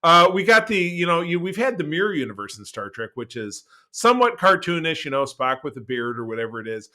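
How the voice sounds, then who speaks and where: Man, podcast co-host, forceful bright tone, slightly high pitch, enthusiastic, emphatic, halting casual review chat. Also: big pitch swings. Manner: halting, repeats words as he goes.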